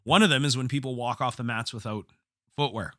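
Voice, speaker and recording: A man hosting a solo podcast as a coach, telling stories in blunt, profane, slangy talk, slightly hoarse from a cold. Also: medium pitch, fairly quick, fairly bright tone, no room sound, clean studio sound. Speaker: podcast host, jiu-jitsu coach and gym owner. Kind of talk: casual solo podcast storytelling.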